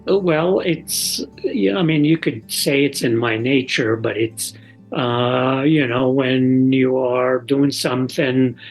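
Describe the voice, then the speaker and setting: An older man, a father talking casually and reflectively in an interview, halting, with non-native English phrasing. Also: medium pitch, faint music behind, rough recording.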